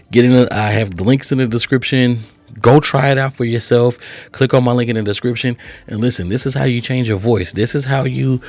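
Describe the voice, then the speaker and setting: A man's voice: mid-range voice, darkish tone, no room sound. A man hosting a casual step-by-step tutorial, chatty and instructional, often checking in with short tags. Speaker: host of software tutorial channel.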